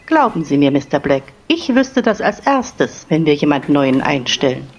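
A woman's voice: deep voice, sing-song, moderate pace, highs cut off. A woman playing a firm, self-assured head secretary in an audio drama. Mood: insistent. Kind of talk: German audio drama dialogue.

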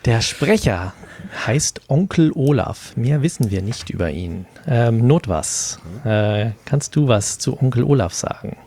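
Slow-paced male podcast host. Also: medium pitch, animated, warm tone. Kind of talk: casual podcast hosting.

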